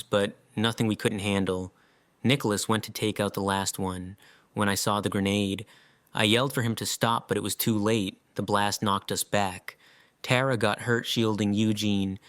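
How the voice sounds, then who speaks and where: Male survivor, acted by a man, lowish voice, dry sound, guilt-ridden, emotional, halting, for audio drama. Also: little pitch movement, fairly bright tone, dry recording, close to an everyday voice. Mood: grim, recounting. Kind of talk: fan audio drama acting.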